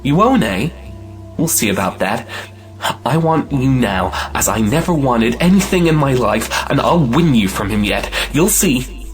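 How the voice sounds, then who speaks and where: An impetuous young suitor from an old stage comedy, acted by a man. The male voice is medium in pitch and very varied in melody, emphatic and possessive in an audio play style. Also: very animated, measured pace, soft background music. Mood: desperate, determined.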